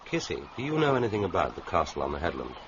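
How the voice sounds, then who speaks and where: A suave male secret agent in a radio adventure, speaking low with very lively swings of pitch. He is urbane and dryly good-humoured, on a dull, noisy recording. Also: racing, full character voice. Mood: curious. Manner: urbane, formal, dryly wry phrasing. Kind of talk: radio drama acting.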